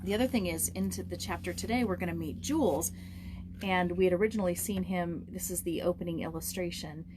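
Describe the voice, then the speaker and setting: A woman's voice: fairly low pitch, fairly quick, background noise. A woman reading a storybook aloud as host, with casual asides.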